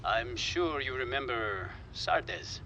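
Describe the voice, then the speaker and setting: Bright, forceful soccer club boss. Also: male voice, medium pitch, animated, slow, a little hiss or hum, full character voice. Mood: persuasive. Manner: direct, assured, persuasive. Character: confident male American soccer club boss recruiting players. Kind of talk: cinematic video game drama acting.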